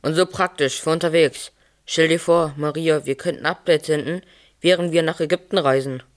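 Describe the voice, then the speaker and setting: A man's voice: a little high, fairly even intonation, normal speed, natural voice. A man playing a plain carpenter and new father in a nativity audio play. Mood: enthusiastic.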